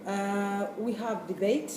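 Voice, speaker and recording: A woman, a school principal, answering interview questions in a hesitant way with many pauses and restarts. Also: mid-range voice. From Tanzania. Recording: echoey room, far from mic, noisy.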